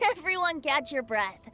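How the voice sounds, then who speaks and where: A woman's voice: very high-pitched, pushed and bright, exaggerated voice. A woman voicing a cheerful, exuberant young female festival host in an anime-style video game.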